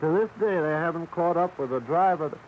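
An actor playing a folksy, gossipy old American idler with a creaky voice and rambling, unhurried talk, in 1940s radio style. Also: male voice, fairly high pitch, natural voice. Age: older. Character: slow-talking old small-town male idler, gossipy, folksy. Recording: dull, noisy old radio recording.

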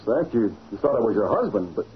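A wisecracking, excitable American reporter in 1940s radio style. He talks in punchy slang with a highly varied pitch. The recording is dull and noisy. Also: fairly quick. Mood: impatient, wary. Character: cocky, wisecracking newspaper reporter, adult man. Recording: muffled, noisy old radio broadcast recording.

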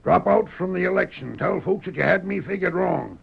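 An actor playing a crooked Old West sheriff in a radio western, threatening and blustering in a raspy Western American drawl. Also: male voice, high voice, expressive, fairly quick, full character voice. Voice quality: gravelly, raspy. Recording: dull, muffled old radio recording.

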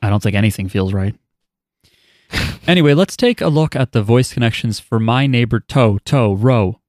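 A man's voice: mid-range voice, expressive, moderate pace, darkish tone, no echo. Wry podcast host man, dry mic. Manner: wry, offhand asides. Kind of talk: casual chat, reading listener email.

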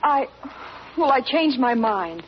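High-voiced, spirited farm daughter. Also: female voice, sing-song, takes it slowly, full character voice. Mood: defensive. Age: young adult. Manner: earnest, emotional. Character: spirited young farm daughter, defiant of her father. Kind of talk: old-time American radio drama acting. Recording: muffled, noisy old radio recording.

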